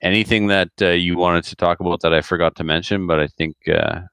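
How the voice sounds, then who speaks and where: Very deep-voiced man, podcast host. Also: fairly even intonation, fairly quick, fairly bright tone, smooth, no echo. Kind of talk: casual podcast chat.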